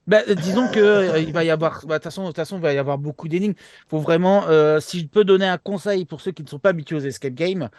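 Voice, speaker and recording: A man's voice: fairly high pitch, a little mellow, no echo, studio quality. A man chatting casually as co-host of a show, often repeating words for emphasis. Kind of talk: casual chat, informal announcement.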